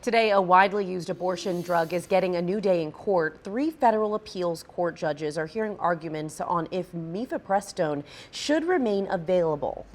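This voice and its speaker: An American woman anchors a local morning newscast from a script. Her voice is on the low side for a woman. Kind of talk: scripted local TV news reading.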